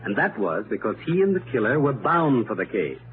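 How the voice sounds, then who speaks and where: An American actor playing a confident, brilliant amateur detective in 1930s radio mystery style, explaining things in a deliberate, methodical, emphatic way. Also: male voice, medium pitch, big pitch swings, natural voice. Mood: building to reveal. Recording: noisy, narrow-band 1930s radio recording.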